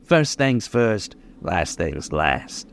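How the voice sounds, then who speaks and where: A man's voice: low voice, big pitch swings, extremely slow, vocal fry, slight background noise, exaggerated voice. An actor in an old-time radio crime drama playing a cocky, bitter gunman with menacing, taunting, slangy tough talk. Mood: taunting, smug. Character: cocky bitter gunman confessing his life story.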